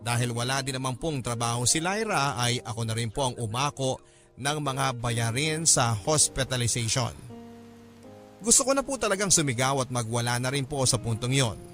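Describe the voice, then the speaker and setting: A man's voice: medium pitch, animated, normal speed, fairly bright tone, soft background music. A man reading a first-person letter as a radio drama narrator, in an earnest, respectful storytelling manner.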